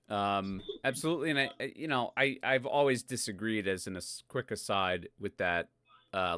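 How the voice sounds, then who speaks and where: Low, smooth-voiced male host. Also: fairly bright tone, no room sound, clean studio sound. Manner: conversational, hedging, filler-heavy. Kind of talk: casual interview questions.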